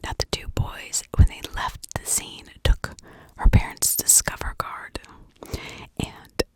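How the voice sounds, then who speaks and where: A woman doing ASMR true-crime storytelling in a breathy whisper, chatting from memory with dramatic emphasis on key words. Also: slow, no room sound, low-quality recording. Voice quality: breathy, unvoiced whisper with creaky edges.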